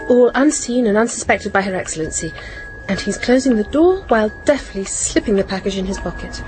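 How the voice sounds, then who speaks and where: Actress as plucky British housewife spy over music. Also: female voice, medium pitch, lightly acted, low-quality recording. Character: brave English housewife turned spy, playful and eager. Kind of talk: radio drama acting with first-person narration.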